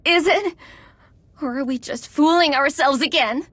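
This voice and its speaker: A woman's voice: high-pitched, unhurried, fairly bright tone, dry recording. A woman playing an anxious young fugitive inventor in a video game, earnest and emotional. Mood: doubtful, upset.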